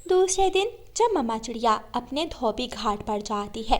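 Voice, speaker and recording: High-pitched woman narrating children's story. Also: very animated, moderate pace.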